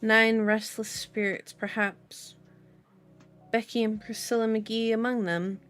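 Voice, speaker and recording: A woman's voice: on the high side, little pitch movement, slow, a bit bright. A woman reading a novel aloud in an ASMR style with chatty, playful asides, as the host of her channel. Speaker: book reader, channel host. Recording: music behind the voice. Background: music.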